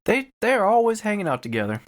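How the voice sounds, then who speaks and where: A man's voice: high-pitched, sing-song, quick pace. A man co-hosting a podcast, chatting informally with short questions and joking banter. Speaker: podcast co-host.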